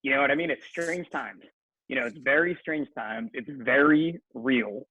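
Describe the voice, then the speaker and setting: A man's voice: mid-range voice, moderate pace, sharp tone, husky, no echo, highs cut off. A man chatting casually and emphatically as a guest on a panel podcast, in informal language. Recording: noisy live call, clicks.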